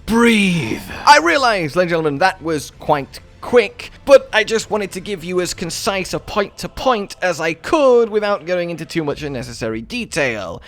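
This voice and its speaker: Man, fairly high voice, very lively intonation, chatty game guide narration, wordy, playfully dramatic. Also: normal speed, few pauses, faint music behind. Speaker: video game guide host. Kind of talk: enthusiastic scripted game guide voice-over.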